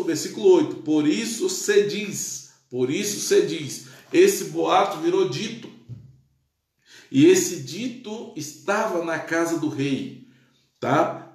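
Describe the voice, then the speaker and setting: A man's voice: a little high, a little mellow, roomy sound. A male pastor teaching the Bible in a live stream, exclaiming praise and checking in with his listeners, his Portuguese touched by Spanish. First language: Spanish influence.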